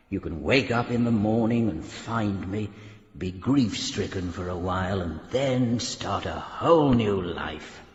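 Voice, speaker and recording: A dead philanderer remembered in a radio play. His voice is deep and unhurried, calmly resigned. Long reverb surrounds it, slightly muffled. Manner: slow, soothing, ghostly. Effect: heavy reverb of a remembered voice. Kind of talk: radio drama acting.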